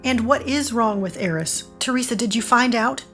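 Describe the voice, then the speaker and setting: A woman's voice: on the high side, moderate pace, fairly bright tone. Actress as a direct woman, music behind. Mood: pointed. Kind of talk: scripted audio drama acting.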